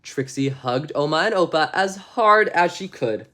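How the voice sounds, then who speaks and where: Man reading a bedtime story, very animated. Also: on the high side, a little slow. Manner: expressive, chatty, ad-libbing around the text. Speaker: storybook reader. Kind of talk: animated bedtime story narration with casual asides.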